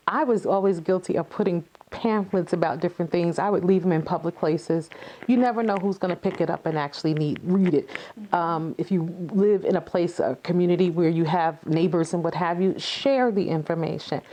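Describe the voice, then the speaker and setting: A woman's voice: fairly low pitch, a little fast, warm tone, rough recording. A woman giving earnest, encouraging conversational answers as an interview guest, repeating phrases for emphasis. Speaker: playwright and activist guest.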